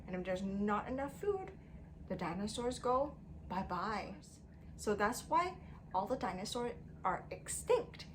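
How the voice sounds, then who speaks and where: A woman teacher reading a story aloud to young children in an expressive way, using simple phrasing and putting questions to them. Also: medium pitch, unhurried, noisy background. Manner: expressive, emphatic delivery.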